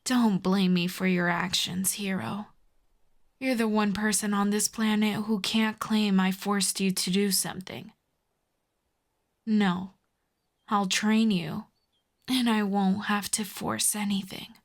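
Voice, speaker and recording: A woman performs a proud villainess from an ASMR audio drama. She speaks slowly and deliberately in a mid-range voice, haughty and teasing, with fondness underneath. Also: medium pitch, fairly even intonation. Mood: smug, fond. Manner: haughty, teasing, slow deliberate delivery with soft whispers. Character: proud telepathic villainess, secretly fond of a hero. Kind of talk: intimate ASMR audio roleplay.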